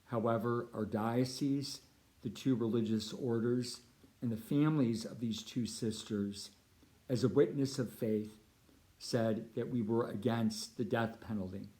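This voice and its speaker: A middle-aged man with a Californian American accent, a priest giving a prepared appeal talk in measured, deliberate phrases. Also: medium pitch, fairly even intonation, dark tone, poor audio. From United States (Chicago and Southern California).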